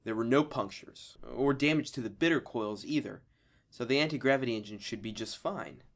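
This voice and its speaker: A man's voice: medium pitch, fairly quick, lightly acted. A man acting as a modest, unassuming spaceship crewman in an audio drama. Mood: unsure, casual.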